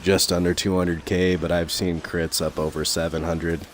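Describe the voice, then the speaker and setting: A young man commentating his gameplay in a casual, rambling way full of fillers, his voice a little raspy from talking so long. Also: deep voice, flat delivery, normal speed, a little hiss or hum. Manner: rambling, many fillers and restarts.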